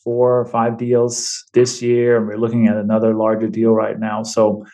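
A man's voice: fairly low pitch, monotone, normal speed, dark tone. Middle-aged guy chatting on a podcast, keeps restarting, says "right?" a lot. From Denmark. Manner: restarts often, tags points with "right?". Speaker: podcast guest. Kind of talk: casual interview talk.

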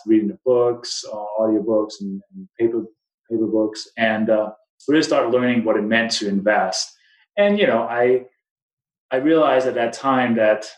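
Danish-accented man in an echoey room. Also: fairly low pitch. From Denmark. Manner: rambling with many restarts and fillers. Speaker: guest investor. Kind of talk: casual interview talk.

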